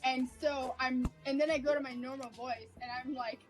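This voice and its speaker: A woman's voice: high-pitched, steady tone, normal speed, poor audio. A woman co-hosting a gameplay video, telling stories animatedly and swearing casually. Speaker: co-host and co-author. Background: game music.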